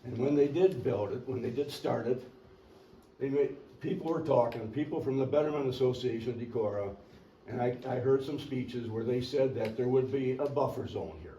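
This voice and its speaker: An older man, a local resident, telling a rambling, folksy history at a public meeting and clearing his throat now and then. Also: medium pitch, moderate pace, mellow, muffled recording. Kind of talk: storytelling public comment. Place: community meeting room. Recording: echoey meeting room, distant mic, faint hiss.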